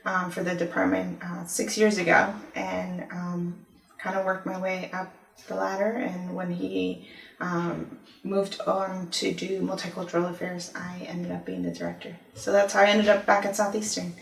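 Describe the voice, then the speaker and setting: Ecuador-born woman, 30s, testimony interview, gravelly voice, roomy sound. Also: fairly low pitch, flat delivery. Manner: thoughtful, many "um" pauses, storytelling. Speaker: university discipline office director. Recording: echoey room, mic at a distance.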